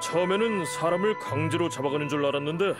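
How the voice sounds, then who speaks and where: A man's voice: a little high, fast, close to an everyday voice. A man voicing an easygoing sailor in a video game, talking in a casual, conversational way. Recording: loud music over the voice.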